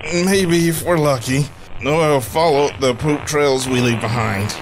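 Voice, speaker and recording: Man playing a nerdy, higher-voiced inventor. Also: unhurried, heavy background noise, natural voice. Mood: defeated. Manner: precise, over-explaining technical talk. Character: nerdy male inventor, earnest technician of a gang. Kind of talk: comedy radio drama acting.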